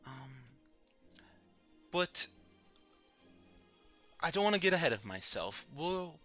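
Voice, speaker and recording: Courteous island host played by a man for a fantasy tabletop game: bright, forward tone, self-assured, halting phrasing, faint music underneath. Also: on the high side, big pitch swings, voice pushed up, full character voice. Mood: gracious, hesitant.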